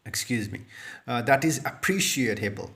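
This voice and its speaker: A man's voice: mid-range voice, expressive, normal speed, vocal fry, a bit bright. Urdu-native male tutor, 30s, off-the-cuff phone-camera lesson, earnest, lots of "uh" and restarts. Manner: earnest, repetitive, with many fillers and restarts. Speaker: IELTS speaking tutor. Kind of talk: unscripted tutorial monologue to camera. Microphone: phone held close, front camera.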